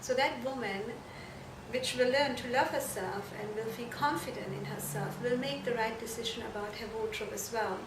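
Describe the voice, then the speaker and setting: A woman from Germany, answering interview questions earnestly and haltingly, correcting herself as she goes. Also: fairly high pitch. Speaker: fashion and shoe designer being interviewed. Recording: echoey room, distant mic, noisy background.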